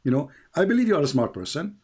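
A man's voice: big pitch swings, fast, darkish tone, husky. A man whose first language is Arabic, hosting and debating a caller, emphatic and repeating phrases for stress. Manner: emphatic, rises high when pressing questions. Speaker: host.

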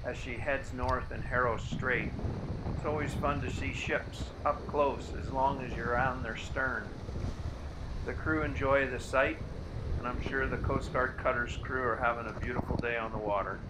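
A man narrates a boating trip in a calm, easygoing voice, pitched right in the middle for a man. A steady hiss of noise sits under the voice-over the whole time. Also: moderate pace. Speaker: sailing vlog host.

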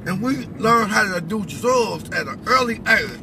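A man's voice: sits high, expressive, normal speed, vocal fry. A middle-aged man telling personal stories with some preaching, in a colloquial, emphatic way that repeats phrases. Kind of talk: animated personal storytelling with preaching. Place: inside a pickup truck cab. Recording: noisy vehicle cabin.

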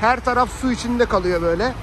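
A man's voice: very high voice, fast talker. A man chatting casually and slangily to viewers and family as he vlogs outdoors on a phone. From Turkey. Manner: colloquial, slangy, animated asides to family and viewers. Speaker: vlogger. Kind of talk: casual chatty vlog narration. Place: outdoors on a snowy city street. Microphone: handheld phone. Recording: noisy outdoor street with traffic.

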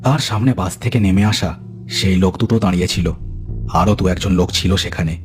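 A male storyteller with a deep, bass-leaning voice and a Bengali accent. He delivers scripted horror narration dramatically and with measured suspense, while music plays clearly behind him.

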